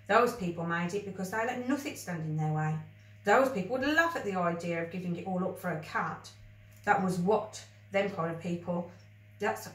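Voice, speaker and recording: A woman reading a children's story aloud with expressive narration. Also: on the low side. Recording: roomy, echoey, distant microphone.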